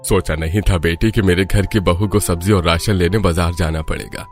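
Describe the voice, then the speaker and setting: A man playing a gentle, regretful elderly father-in-law in a cartoon family story. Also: deep voice, steady tone, moderate pace, soft background music, natural voice.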